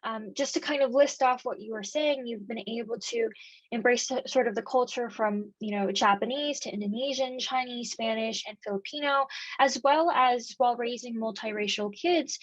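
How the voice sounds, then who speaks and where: A woman's voice: a little high, moderate pace, no echo, poor audio. A woman hosting an interview, asking long polite questions with plenty of hesitations. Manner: polite, uses many um fillers while asking long questions. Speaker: interviewer, host.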